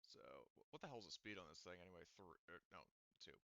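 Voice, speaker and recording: A man's voice: low voice, lively intonation, fast talker, pushed and bright, no echo. A man running a tabletop game over a voice call, hesitant and thinking aloud with many fillers. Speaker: game master.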